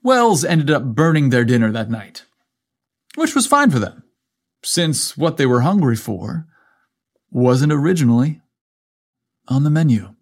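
A man's voice: medium pitch, very animated, a little slow, pauses often. A man narrating a scripted story as a podcast host, with a playful, cheeky manner.

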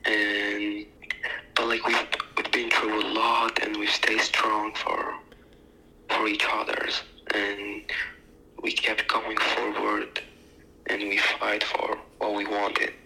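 Low, gravelly man. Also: poor audio. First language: Arabic. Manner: soft, hesitant, halting phrasing. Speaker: podcast co-host. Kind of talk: casual chat.

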